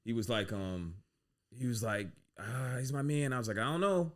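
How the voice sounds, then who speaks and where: A man, a guest on a podcast, telling stories from his life in a relaxed, casual way with lots of "you know what I'm saying". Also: medium pitch, moderate pace. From United States. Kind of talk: casual storytelling interview.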